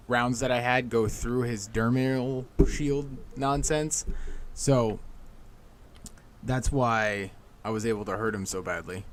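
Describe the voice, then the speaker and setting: A man commenting casually on his own gameplay, informal and quick to swear. His voice sits at an average pitch, with faint noise behind it. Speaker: gamer doing a playthrough.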